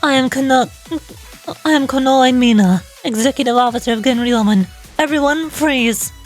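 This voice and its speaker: Game detective heroine put on by a woman: young, high-pitched, grandiose, theatrically dramatic. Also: moderate pace, soft background music, close to an everyday voice. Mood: heroic. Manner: dramatic, pompous.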